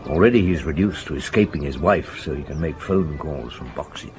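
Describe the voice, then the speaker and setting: Deep-voiced, thoughtful police inspector. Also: male voice, lively intonation. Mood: musing. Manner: measured, musing, deliberate. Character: middle-aged French police inspector, thoughtful, courteous, dogged. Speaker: actor playing a police inspector. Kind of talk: radio drama acting.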